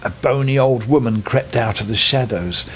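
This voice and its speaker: An older man reading a children's bedtime story aloud in an expressive, unhurried, dramatic storytelling manner. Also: mid-range voice, slight background noise.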